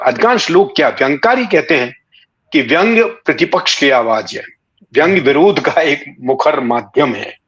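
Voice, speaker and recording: A man, giving measured, reflective interview answers phrase by phrase. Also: a little high, fairly bright tone, highs cut off. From India. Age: 60s. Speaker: interview guest, writer and former broadcaster.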